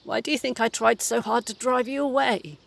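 A woman's voice: on the high side, fairly even intonation, fairly quick, vocal fry, no room sound. A woman playing a sardonic, formidable ghost of a mother in her seventies in an audio play, dry and genteel. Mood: dry, teasing. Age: 70s.

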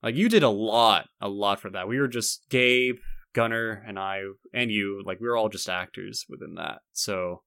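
A young man with a fairly low voice talks casually on a podcast. He rambles a little, restarting and leaning on "like". The sound is dry, with no room on it. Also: moderate pace, creaky, fairly bright tone, clean tone, dry recording. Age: young adult. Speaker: podcast co-host.

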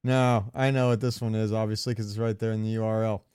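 A man's voice: fairly low pitch, level tone, fast talker, darkish tone, clean tone, no room sound, clean studio sound. Man chatting casually on a podcast. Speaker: podcast host. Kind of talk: casual gaming podcast chat.

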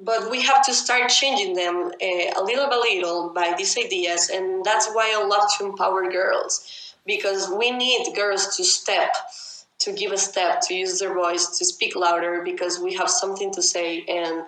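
Young woman w spanish as first language, talks loud n fast, gets shouty, echoey room. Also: medium pitch, fairly even intonation. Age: young adult. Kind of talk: casual conversation.

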